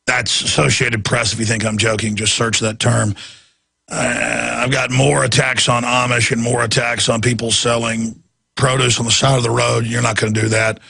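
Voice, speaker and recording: A hoarse, raspy man hosting a radio talk show, straining his voice as he rants in indignant political commentary from a studio. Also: mid-range voice, flat delivery, moderate pace, sharp tone, no room sound. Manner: ranting, indignant.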